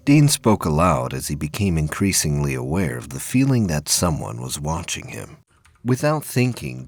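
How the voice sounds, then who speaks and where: A man's voice: low voice, husky, no echo. A man narrating a sci-fi audio play in an intense, suspenseful, scripted dramatic style.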